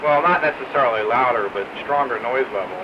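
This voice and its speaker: A man's voice: medium pitch, a little fast, crowd noise. A man chatting casually as a radio hobbyist over a two-way radio. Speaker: radio hobbyist in a group chat. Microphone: two-way radio, recorded from a receiver stream. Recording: very noisy, muffled CB radio static.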